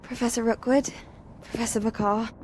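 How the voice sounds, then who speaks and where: A woman plays a teenage witch at school in a fantasy game. Her voice is fairly high, with a polite and respectful formality. There is faint ambience behind her. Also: little pitch movement, moderate pace, a little mellow, slight background noise, lightly acted. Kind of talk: video game dialogue acting.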